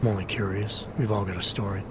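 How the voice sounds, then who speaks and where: An actor playing a terse, determined agent, the probing hero of a video game cutscene. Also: male voice, lowish voice, fairly even intonation, fast talker, background noise, lightly acted. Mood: probing, measured.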